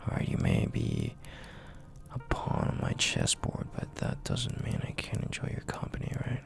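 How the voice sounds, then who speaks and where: Man acting a gravelly, whispering, dominant mafia boss. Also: a bass voice, huge pitch swings, music in the background, full character voice. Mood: teasing, seductive. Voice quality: gravelly, breathy half-whisper. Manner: slow, commanding, teasing, with drawn-out pauses. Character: dominant, flirty, possessive male mafia boss. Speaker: audio roleplay performer. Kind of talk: intimate audio roleplay.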